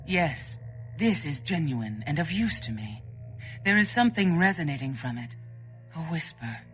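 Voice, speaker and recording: A woman's voice: sits low, big pitch swings, normal speed, a bit bright, faint music behind. A woman playing a grave, eerie female vampire in a video game, speaking formally and ominously. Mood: ominous, intrigued. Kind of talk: video game character acting.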